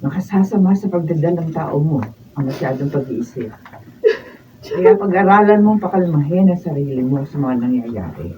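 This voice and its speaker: A woman's voice: low-pitched, animated, normal speed, distant mic. An actress playing a stern older mother or aunt in a Filipino radio drama, giving emphatic counsel. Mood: calm, consoling. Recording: dull off-mic sound, faint noise.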